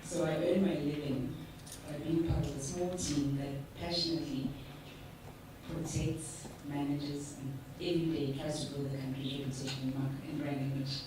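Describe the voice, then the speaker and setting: A young South African woman with a very low voice speaks passionately, stumbling now and then. She sounds distant in a very echoey function room. Room noise runs underneath. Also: little pitch movement, normal speed. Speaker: speaker at a women's gathering. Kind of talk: impromptu speech to a gathering. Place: function room at a breakfast event. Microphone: handheld mic, far camera. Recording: echoey room, far from the camera.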